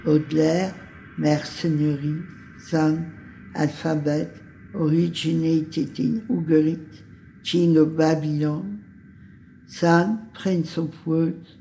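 A mid-pitched, dark voice recites poetry extremely slowly. Words come singly between long pauses, with plenty of creak. Faint ambient noise sits behind it. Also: fairly even intonation, rough recording.

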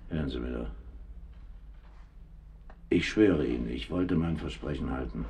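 A man's voice: rumbling low, measured pace, darkish tone, far from the mic. An actor in a German radio crime drama playing a guilt-ridden male police captain, earnest, insistent and conciliatory. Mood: earnest pleading.

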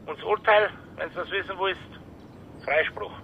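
An actor playing a confidential police colleague with a Bavarian accent, talking informally through a telephone filter in a radio crime drama. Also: male voice, a little slow, creaky. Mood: matter-of-fact. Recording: thin phone line, faint rumble.

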